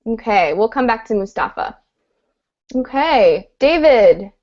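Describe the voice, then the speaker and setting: A woman teaching English on a video call, her voice pitched a little high and delivered patiently, clearly and encouragingly. The audio is dull and lo-fi. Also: big pitch swings, takes it slowly, darkish tone. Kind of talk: online group class.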